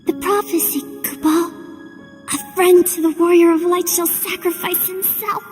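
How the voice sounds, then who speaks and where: A woman's voice: very high voice, steady tone, normal speed, darkish tone. A woman voicing a small, childlike, loyal moogle companion in a video game, cutesy and earnest. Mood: fading, tender. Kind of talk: video game cutscene acting. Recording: long reverb, music behind.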